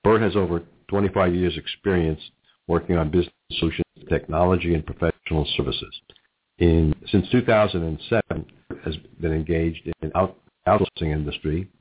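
Male host, middle-aged, New York accent, very low husky voice, business interview, thin phone-like sound. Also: steady tone, moderate pace. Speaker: radio talk show host. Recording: muffled narrow phone-like audio.

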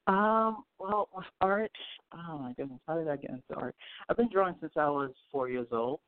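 A man's voice: a little high, expressive, moderate pace, no echo. A man from Haiti, a guest artist, talking casually in an interview over a call, hesitant with many fillers and restarts, laughing easily. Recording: tinny phone line.

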